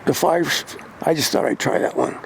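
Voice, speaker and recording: An American man with a gravelly voice, talking casually and informally as a hobbyist vlogger. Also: fairly high pitch, normal speed, a little hiss or hum. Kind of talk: casual hands-on gear talk.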